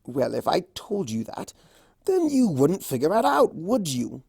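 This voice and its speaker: Someone voicing a smug, theatrical trickster creature, swooping pitch. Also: wildly swooping pitch, vocal fry, a little mellow, breathy edge, no room sound, clean studio sound, full character voice. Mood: teasing, knowing. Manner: drawn-out, theatrical, teasing delivery.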